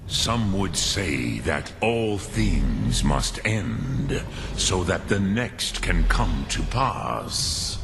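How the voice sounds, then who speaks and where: A male actor playing an ancient, wise dragon in a fantasy video game, patient and weary, with a gravelly voice and slow, formal delivery. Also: low voice, noisy background, exaggerated voice. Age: older. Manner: slow, deliberate, formal, with long pauses.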